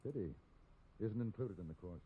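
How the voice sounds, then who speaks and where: An actor playing a calm, polished gentleman gunfighter in a 1950s radio drama, speaking in a measured, commanding way. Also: on the low side, lightly acted. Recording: muffled old radio recording with clear hiss.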